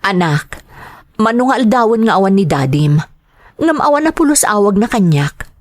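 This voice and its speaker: A woman's voice: mid-range voice, very animated, normal speed, natural voice. A middle-aged Filipino woman acting in a radio drama as an anguished wife and mother whose husband is missing, tearful, sighing and pleading. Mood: anguished, worried.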